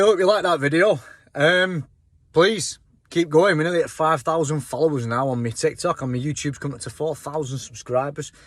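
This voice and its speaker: A middle-aged man, a car mechanic, talking casually while he works through a fault, his voice hoarse and fading, with his phrases trailing off quietly. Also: mid-range voice. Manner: chatty, thinks aloud, trails off quietly at the end of phrases. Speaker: car mechanic, auto electrician. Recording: noisy workshop with vehicle sounds.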